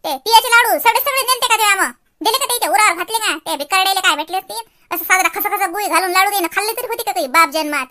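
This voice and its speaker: A woman acts out a quarrelsome cartoon mother-in-law with an extremely high, childlike pitch and a sharp, bright edge. She boasts and rants in rhetorical questions, with a rural Varhadi Marathi accent from Vidarbha. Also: quick pace, few pauses. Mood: grumbling, suspicious. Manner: scolding, ranting with rhetorical questions. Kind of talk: exaggerated cartoon comedy acting.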